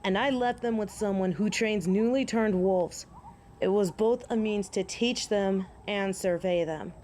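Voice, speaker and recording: An actress plays a proud werewolf woman of honor in an audio drama. Her voice sits at a medium pitch and her words are formal, solemn and old-fashioned. A faint noise lies behind the recording. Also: unhurried, a little mellow, natural voice. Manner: formal, archaic, solemn phrasing. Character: proud, honorable female werewolf pleading with human hunters.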